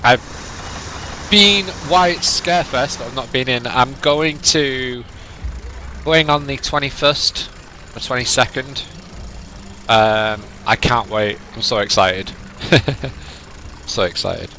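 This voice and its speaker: A man's voice: medium pitch, slow, pauses often, sharp tone, slight background noise. Lancashire man streaming, nasal with a cold. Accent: northern English, Lancashire. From England. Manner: chatty and rambling, reads chat aloud. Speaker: game streamer. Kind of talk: casual live-stream chat with viewers.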